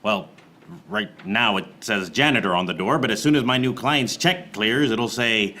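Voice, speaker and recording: An actor playing a wisecracking, hard-boiled private eye, narrating a noir parody radio play in a deadpan, wry way. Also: male voice, lowish voice, moderate pace. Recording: noticeable background noise.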